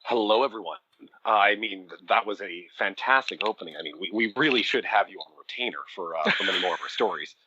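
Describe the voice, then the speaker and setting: A male podcast guest making dry, joking asides in relaxed banter. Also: lowish voice, fast talker, lo-fi sound, a little hiss or hum. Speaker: guest, audio drama producer. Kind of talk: casual banter.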